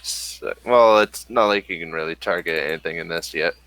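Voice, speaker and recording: Low-voiced man as a halting game master. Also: a bit bright, clean tone, no room sound. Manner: halting descriptions full of fillers. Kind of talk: narrating a tabletop game.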